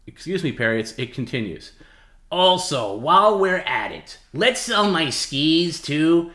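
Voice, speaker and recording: A man's voice: a little high, very animated, measured pace, sharp tone. A man hosting a livestream, reading out dramatically and repeating himself in an emphatic, goading way. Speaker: livestream host. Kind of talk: dramatic reading mixed with casual talk. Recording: roomy, echoey sound.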